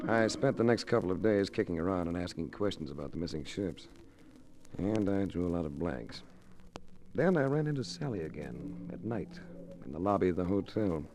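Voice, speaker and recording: Low-voiced American private eye. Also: male voice, expressive, moderate pace. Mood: dry narration. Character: tough, suave, inquisitive male private investigator. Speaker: lead actor and first-person narrator. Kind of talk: 1950s radio detective drama acting. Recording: noisy vintage radio recording.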